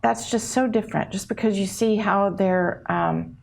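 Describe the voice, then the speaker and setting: A woman's voice: medium pitch, fairly even intonation, moderate pace, vocal fry. A woman hosting a local TV interview, asking curious, encouraging questions in a casual way. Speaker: host. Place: local TV studio.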